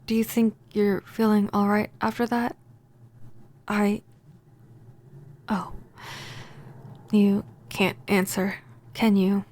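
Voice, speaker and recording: A woman playing a pining, possessive vampire neighbor in a soft-spoken, intimate ASMR roleplay, gentle and halting. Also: medium pitch, level tone, close to an everyday voice. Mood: hesitant, sorrowful.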